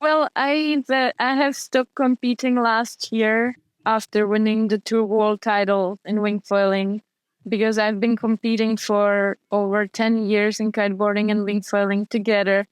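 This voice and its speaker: A woman's voice: medium pitch, little pitch movement, measured pace, smooth, no room sound, poor audio. A young woman in an interview, describing her sport and career in a hesitant way, with frequent restarts and fillers. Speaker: guest, wing foil and kiteboard athlete. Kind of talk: casual interview answers.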